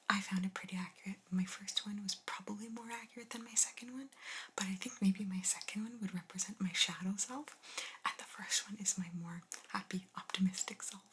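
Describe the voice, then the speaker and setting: Softly whispering woman, slow and gentle. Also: medium pitch, a little hiss or hum. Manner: soft unvoiced whisper, slow and deliberate. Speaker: ASMR creator. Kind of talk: whispered ASMR chat.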